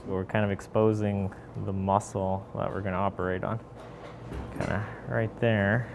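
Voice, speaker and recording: A man's voice: lowish voice, little pitch movement, normal speed. A man speaking as a surgeon, explaining things informally, with noise behind him. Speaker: eye surgeon.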